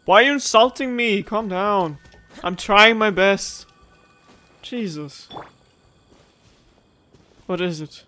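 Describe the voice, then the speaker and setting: A woman's voice: medium pitch, slow pace, long pauses, pushed and bright, faint music behind. A woman doing casual gameplay commentary as a streamer, with playful, sarcastic quips. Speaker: let's play streamer.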